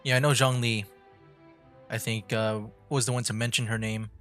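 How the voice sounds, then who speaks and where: A woman's voice: very deep voice, fairly even intonation, normal speed. A woman livestreamer giving casual, dry, understated commentary while she plays a game. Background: game music.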